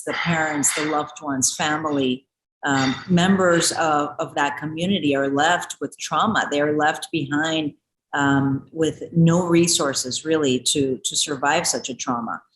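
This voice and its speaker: A woman's voice: low-pitched, fairly even intonation, measured pace, slight background noise. A woman born in Ecuador, a former congresswoman, speaking earnestly in a news interview with frequent restarts. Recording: echoey room.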